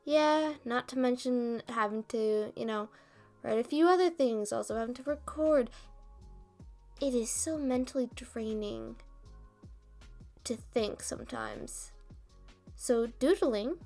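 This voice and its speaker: Slow-talking, high-voiced roommate woman played by a woman. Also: warm tone, faint music behind. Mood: weary rambling. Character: rambling, self-deprecating roommate woman venting to a friend. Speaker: audio roleplay performer and script writer. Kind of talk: ASMR roleplay, one side of a casual conversation.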